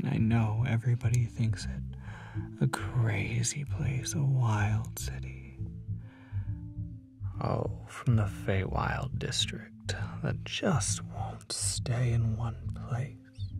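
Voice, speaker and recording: A performer voicing a teasing, smooth-talking demon in an audio roleplay, murmuring slowly and condescendingly to the listener. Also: medium pitch, darkish tone, far from the mic. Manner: slow, drawn-out, breathy murmuring, teasing.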